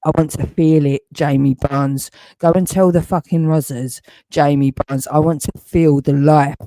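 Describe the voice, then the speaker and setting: A man, seething and swearing through a threatening rant. His voice sits a little high for a man, with a dark, mellow tone. The sound is dry and close, with no room around it. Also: no room sound. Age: 40s. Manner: swearing, repeating questions, seething.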